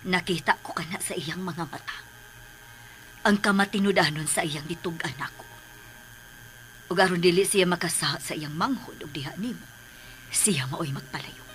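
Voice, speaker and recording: A woman playing an earnest middle-aged mother in a radio drama, speaking with breathy emotional emphasis. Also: lowish voice, normal speed, pauses often, fairly bright tone, a little hiss or hum, close to an everyday voice, rough recording. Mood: pleading. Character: earnest, pleading middle-aged mother, protective of her sons.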